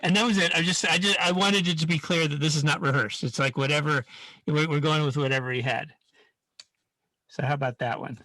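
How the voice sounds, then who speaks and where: Man, higher-pitched voice, science teacher, informal online workshop, rambling and halting, dry close sound. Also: steady tone, pushed and bright, no room sound, poor audio. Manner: hesitant, rambling, frequently breaking off and restarting sentences. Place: online video call.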